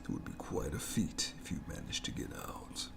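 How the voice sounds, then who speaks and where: A man's voice: moderate pace, natural voice. A man voicing a sadistic, playful monster in a horror ASMR roleplay, whispering with taunting mock courtesy. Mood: gloating, menacing. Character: sadistic, playful, menacing monster lurking in its lair.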